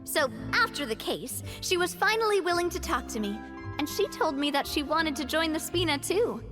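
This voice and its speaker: A woman's voice: high voice, lively intonation, moderate pace. A woman voicing a cheerful, genteel young female boss in an anime game. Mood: earnest. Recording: game music behind.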